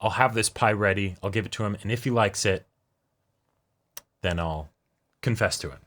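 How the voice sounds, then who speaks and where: Man, fairly low pitch, bright forward tone, casual commentary, tentative, thinking aloud. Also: normal speed. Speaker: co-host of anime reaction show. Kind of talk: casual discussion, commentary on an anime episode.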